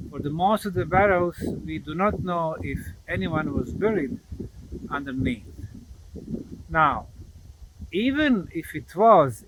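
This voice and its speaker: A male presenter with a slightly high-pitched voice explains things to camera out in the countryside. He speaks very slowly and emphatically, breaking his sentences into short, deliberate phrases. The recording carries loud outdoor noise. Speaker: presenter and investigator.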